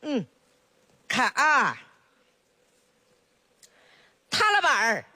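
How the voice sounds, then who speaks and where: A chatty old village grandmother with a Northeastern Chinese accent, acted out by a comedian, fussing over a baby in a solo comedy sketch. Her voice is bright and swoops up and down in pitch. She talks slowly, with plenty of exclamations and teasing jokes. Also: huge pitch swings, dry recording, exaggerated voice. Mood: storytelling. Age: older. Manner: sing-song, full of exclamations, teasing and jokey.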